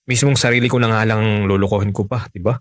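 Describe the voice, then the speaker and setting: A Filipino man acting in a radio romance drama as a smooth-talking, unfaithful married man, earnest and persuasive. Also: lowish voice, fairly even intonation, fast, no room sound, clean studio sound, close to an everyday voice. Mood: earnest pleading. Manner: coaxing, earnest.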